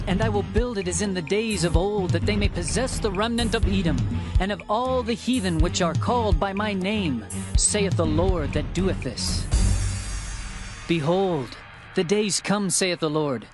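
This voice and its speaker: A man narrating dramatized scripture in a solemn, declamatory way with measured phrasing. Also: sits high. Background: music.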